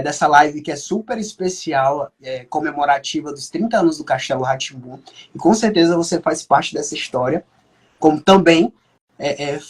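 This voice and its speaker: Brief: man, raised pitch, husky tone, halting live-stream host. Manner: hesitant, many fillers. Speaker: podcast host. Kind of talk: casual live podcast hosting.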